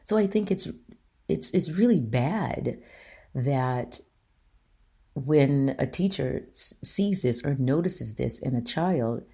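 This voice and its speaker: A middle-aged woman with a deep voice hosting her own talk show, speaking earnestly and emphatically to her listeners. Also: animated, slow, mellow, husky. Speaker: show host. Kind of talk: solo opinion talk.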